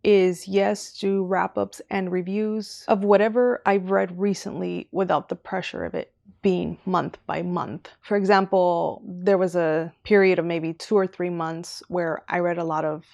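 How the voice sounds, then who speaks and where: Low gravelly young woman, dry close sound. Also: a little mellow, no echo. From Southern California. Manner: rambling, chatty, goes on tangents. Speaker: booktuber. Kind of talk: casual vlog talk.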